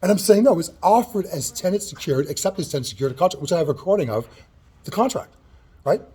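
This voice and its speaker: A man in a heated in-person complaint at a city office, loud and agitated, talking over others and swearing, caught on a hand-held recording. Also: sits high, lively intonation, quick pace, breathy edge. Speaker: tenant. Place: city hall office, indoors.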